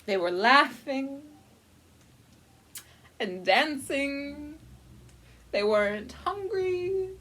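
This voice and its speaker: A woman voicing a pompous, melodramatic grand lady who narrates a storybook with haughty, drawn-out drama. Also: sits high, lively intonation, pushed and bright, heavily acted.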